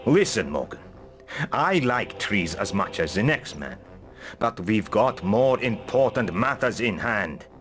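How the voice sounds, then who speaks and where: Blunt old veteran, very lively pitch. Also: male voice, measured pace. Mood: impatient. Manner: blunt, sardonic. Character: blunt, grumbling old male veteran military mentor. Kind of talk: video game voice acting. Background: music.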